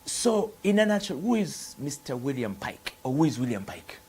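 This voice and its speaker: Male TV host, medium pitch, formal introductions, uh-filled questions. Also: big pitch swings, moderate pace, a little mellow, husky. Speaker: TV show host. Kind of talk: hosting a book interview.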